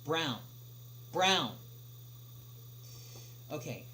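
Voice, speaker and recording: A man chatting casually on a livestream as he answers viewer questions, speaking haltingly with long pauses. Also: a little high, sing-song, pushed and bright. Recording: noisy livestream audio with clear hum.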